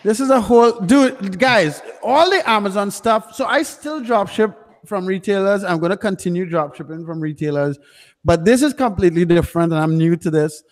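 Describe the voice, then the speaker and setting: A man's voice: sits high, expressive, moderate pace. A man from Trinidad hosting a casual livestream chat, joking and swearing freely. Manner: profane, joking banter. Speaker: YouTube host. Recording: reverberant, hall-like echo.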